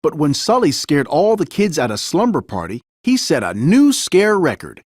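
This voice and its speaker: Animated male children's storybook narrator. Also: fairly high pitch, normal speed, breathy edge, no echo, clean studio sound. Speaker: storybook reader. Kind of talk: children's story read-aloud, scripted narration.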